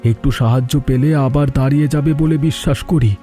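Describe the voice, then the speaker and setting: A man's voice: mid-range voice, steady tone, fairly quick, mellow, faint music behind, lightly acted. A man playing a hospitable, struggling middle-aged village shopkeeper in a cartoon. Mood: hopeful, downcast. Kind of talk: cartoon dialogue dub.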